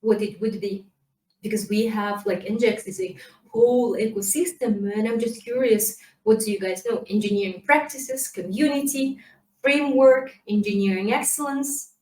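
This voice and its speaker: A woman with a slightly high voice gives a lively, casual conference presentation, chatty and full of fillers. She is heard from a distance in a roomy space through a laptop mic. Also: normal speed. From Kazakhstan. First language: Kazakh. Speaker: community manager giving a talk.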